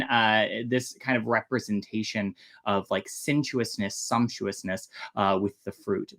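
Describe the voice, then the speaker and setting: An expressive storytelling host talks casually to viewers. The voice sits at a middling pitch and swings widely up and down, with a slightly theatrical delivery. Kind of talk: casual livestream chat.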